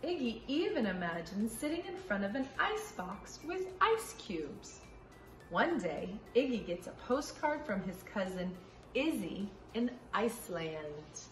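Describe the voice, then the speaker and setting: A middle-aged woman preschool teacher reading and teaching to young children in a clearly enunciated, sing-song way, recorded in a room from a few feet away. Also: medium pitch, sing-song, unhurried, roomy sound, soft background music. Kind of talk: children's story reading and letter lesson. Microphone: camera a few feet away.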